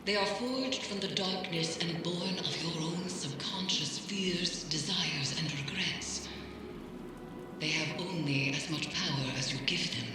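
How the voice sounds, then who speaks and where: An actress playing a solemn mystic mentor in a video game, giving grave, measured and deliberate instruction. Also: female voice, deep voice. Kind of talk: scripted video game narration. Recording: long echoing reverb, noisy ambience.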